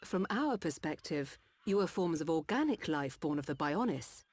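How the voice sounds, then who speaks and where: A lowish female voice, measured and polite, belongs to a caring doctor of a mechanical people. She speaks formally and with composure, as in a video game cutscene. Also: expressive, darkish tone. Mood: calm, explanatory. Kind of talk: scripted game cutscene acting.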